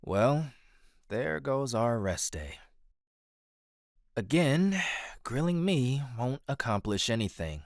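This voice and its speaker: An actor playing a cheeky, ambitious young crusader in a fantasy audio drama, casual, flippant and cajoling. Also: male voice, mid-range voice, big pitch swings, slow pace, pauses often, no echo, studio quality. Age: young adult.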